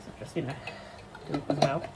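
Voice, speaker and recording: A woman's voice: low voice, level tone, fast, very noisy. A British woman vlogging casually while she cooks, chatty and sweary, laughing often, with a congested, sniffly voice. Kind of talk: casual chat while cooking. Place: home kitchen.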